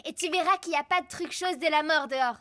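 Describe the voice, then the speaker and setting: A woman's voice: high voice, quick pace, sharp tone. A woman voicing a confident, teasing little girl in a French cartoon, playful, sassy and a bit bossy. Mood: persuasive. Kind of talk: French animated cartoon dubbing.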